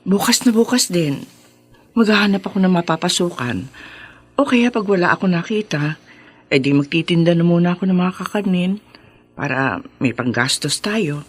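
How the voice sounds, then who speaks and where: A woman's voice: lowish voice, animated, normal speed, long pauses, slightly rough. A woman with a Filipino accent playing a humble, devout middle-aged housemaid mother in a radio drama. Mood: consoling, hopeful.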